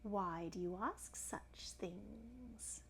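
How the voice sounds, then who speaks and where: A woman voicing a sly, menacing male crocodile in a storybook, slow and coaxing through the teeth. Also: mid-range voice, creaky, a bit bright, breathy edge, heavily acted.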